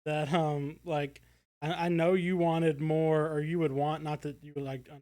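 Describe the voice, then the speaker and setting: A man's voice: fairly high pitch, flat delivery, measured pace, warm tone. A man co-hosting a casual discussion podcast, speaking hesitantly as he thinks his points through aloud. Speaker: podcast co-host.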